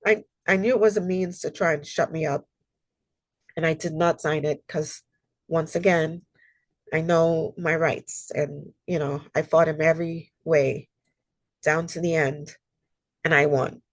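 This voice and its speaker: A woman's voice: low voice, steady tone, measured pace, no room sound. A woman who is a human rights consultant gives an online library presentation while getting over a cold, which leaves her a little nasal. She talks earnestly and conversationally, with frequent ums and personal stories. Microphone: video call.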